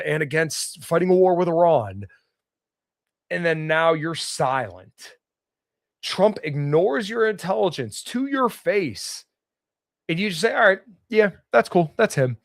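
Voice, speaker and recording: A slightly hoarse man hosting a solo political podcast, reading news aloud and bluntly giving his own opinions. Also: fairly high pitch, dry recording, studio quality. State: slightly hoarse, getting over illness. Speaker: podcast host. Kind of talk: solo political commentary livestream.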